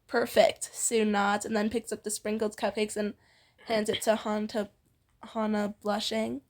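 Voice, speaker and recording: A woman's voice: mid-range voice, flat delivery, unhurried. A young woman reading fan fiction aloud casually, giggly and often breaking off into banter. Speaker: amateur fan fiction reader and co-host.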